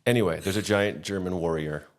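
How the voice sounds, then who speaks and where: A middle-aged man from the American Midwest talks in a very deep voice. He wanders through his stories and often makes fun of himself. The talk is loose and chatty, like a podcast conversation. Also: brisk. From Midwestern United States. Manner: rambling, self-deprecating storyteller. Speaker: podcast guest. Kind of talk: casual chat.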